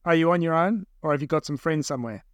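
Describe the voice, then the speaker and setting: A man's voice: fairly high pitch, fast talker, dry recording, clean studio sound, close to an everyday voice. A man as a terse, commanding gang boss. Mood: curt, commanding. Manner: terse, ordering, sceptical. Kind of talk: dramatised audiobook dialogue.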